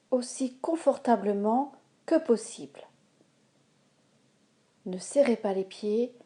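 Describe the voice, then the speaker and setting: A woman leading a guided yoga nidra relaxation as the instructor, giving calm, measured, soothing instructions with pauses. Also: medium pitch.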